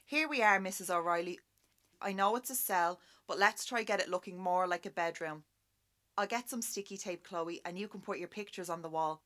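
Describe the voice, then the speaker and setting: A woman's voice: lowish voice, normal speed, a bit bright, natural voice. A woman playing a friendly, caring Irish police officer in a radio drama. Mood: warm, reassuring.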